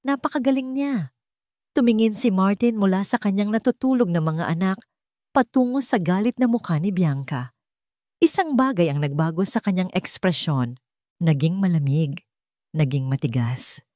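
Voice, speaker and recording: Female narrator, Filipino accent, lowish register, dry studio sound, scripted drama storytelling. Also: expressive, normal speed, warm tone, no echo.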